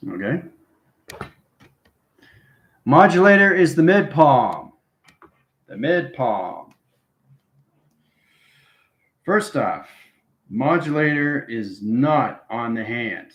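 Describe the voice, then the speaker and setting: A man's voice: mid-range voice, very animated, takes it slowly, pauses often, fairly bright tone. A man giving an improvised esoteric lecture to viewers, instructing repetitively and often checking in with short tags like okay and right. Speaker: spiritual channel host giving instruction. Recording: roomy, echoing sound.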